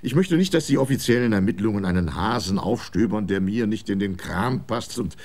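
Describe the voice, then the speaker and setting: Ein Schauspieler spielt einen älteren, wichtigtuerischen Fernsehchef, der weitschweifig redet. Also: male voice, on the low side, lively intonation, a little fast, darkish tone. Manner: self-important, long-winded. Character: pompous older male TV executive, old republican.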